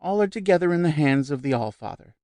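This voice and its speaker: Man reading effusive shrill spiritualist lady, pitched way up, dry audiobook. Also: lively intonation, fast talker, darkish tone, dry recording, close to an everyday voice. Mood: lecturing. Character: gushing middle-aged female society spiritualist.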